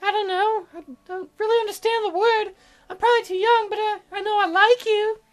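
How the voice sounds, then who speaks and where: A man's voice: extremely high pitch, steady tone, brisk, soft background music, pitched above the performer's own voice. A man voicing a teasing, wistful, stubborn young sick girl in a visual novel, with a hesitant, pleading way of talking. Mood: shy, pleading.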